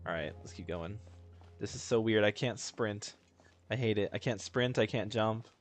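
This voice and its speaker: A man's voice: on the low side, moderate pace. A man doing casual gameplay reaction commentary as a streamer, blunt, slangy and sweary. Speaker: gaming streamer. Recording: faint game music behind the voice.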